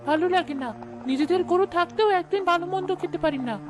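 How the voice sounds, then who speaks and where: A woman's voice: very high voice, quick pace. A woman playing a young, petulant village daughter in a cartoon story. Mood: sulky. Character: sulky grown village daughter, young and hungry. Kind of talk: Bangla cartoon story dialogue.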